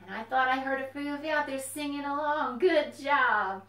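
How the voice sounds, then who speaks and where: A woman's voice: high voice, moderate pace, a bit bright. A woman hosting a children's storytime, speaking to young children in simple phrases with questions and encouragement. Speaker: children's librarian, storytime host. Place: indoors at home. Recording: echoey room, distant mic.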